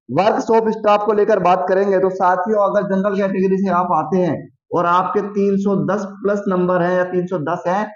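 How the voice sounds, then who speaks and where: A man's voice: sits high, darkish tone. A man explaining things to students as a coaching teacher, repeating key figures for emphasis. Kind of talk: explanatory lesson addressed to students. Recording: muffled, echoey room recording.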